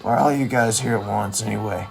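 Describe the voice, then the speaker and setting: A man voicing a blunt, sarcastic, unimpressed young friend in an animated comedy-drama, with casual, sardonic delivery. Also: fairly low pitch, fast talker, gravelly, soft background music.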